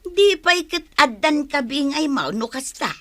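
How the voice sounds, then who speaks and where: A woman's voice: high-pitched, expressive, unhurried. A woman with an Ilocano accent playing a curious, money-minded village accomplice in a comedy drama. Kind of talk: Ilocano comedy radio-style drama acting.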